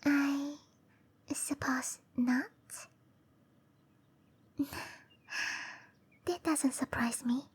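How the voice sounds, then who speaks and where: A woman voicing a shy, gentle young cherry blossom dryad in a breathy, hesitant whisper for roleplay ASMR. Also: sits high, fairly even intonation, drawn-out words, long pauses. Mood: wistful, tender. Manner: hesitant, bashful, softly spoken. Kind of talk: roleplay ASMR, intimate and slow.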